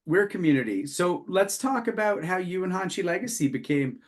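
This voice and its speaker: A man's voice: sits high, lively intonation, fairly quick. A man hosting a podcast, asking his guests questions in casual conversation. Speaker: podcast host. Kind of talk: casual interviewing.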